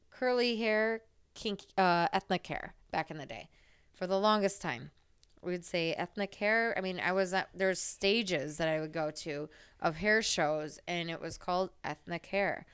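Low, smooth-voiced woman chatting candidly. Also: a bit bright. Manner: candid, rambling, swears freely. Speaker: podcast co-host, hairstylist. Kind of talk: casual podcast chat.

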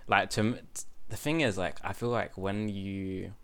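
A man's voice: low voice, steady tone, moderate pace, a bit bright, clear, resonant tone. A man in his twenties hosting a podcast, chatting casually with lots of fillers and restarts. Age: 20s. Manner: rambling, many fillers and restarts. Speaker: podcast host. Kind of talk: casual chat.

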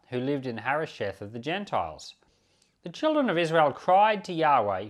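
A man's voice: medium pitch, a bit bright. A man speaking as a pastor, teaching from the Bible and reading scripture aloud to listeners. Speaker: pastor and podcast host.

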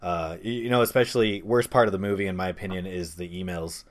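Guy with a deep voice hosting a movie podcast, casual opinions n jokey asides. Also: steady tone, fast talker. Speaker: podcast host.

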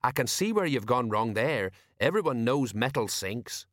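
A man's voice: mid-range voice, very animated, moderate pace, no room sound, studio quality, natural voice. A man voicing a nervous, self-important and rather dim watch sergeant in a storybook, who blusters, stammers and barks. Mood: sceptical. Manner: blustering, stammering under pressure, barking orders.

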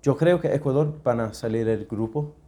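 A man from England, a native English speaker with an English accent, co-hosting a podcast in casual chat and speaking halting learner's Spanish with English words slipped in. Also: mid-range voice, warm tone. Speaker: podcast co-host.

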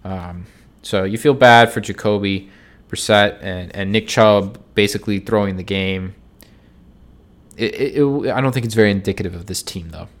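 A man with a slightly low voice, sounding nasal and stuffed-up from a cold. He runs through his betting picks in a relaxed, subdued solo podcast style, filling pauses with ums and restarting his sentences. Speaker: sports betting podcast host. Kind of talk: casual solo sports picks talk.

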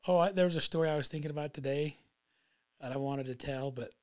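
A man's voice: on the high side, quick pace, darkish tone. A man in his late thirties co-hosting a casual podcast, laid-back, with lots of short agreeing replies. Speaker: podcast co-host. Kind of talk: casual chat.

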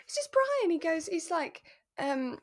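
A woman co-hosting a casual review podcast, chatting in a giggly, animated way with enthusiastic gasps. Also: very high-pitched, unhurried, no room sound. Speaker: co-host of a radio drama review podcast. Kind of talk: casual chat.

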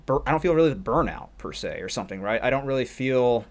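A man's voice: mid-range voice, lively intonation, quick pace. A man who assists as a martial arts instructor, musing on a podcast in a rambling, reflective way. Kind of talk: casual podcast chat.

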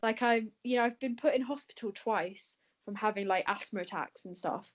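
English woman, chatty, filler-heavy, mid-pitched, dull lo-fi recording. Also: moderate pace. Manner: chatty, laughs often, many fillers. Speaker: guest answering questions. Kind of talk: casual chat.

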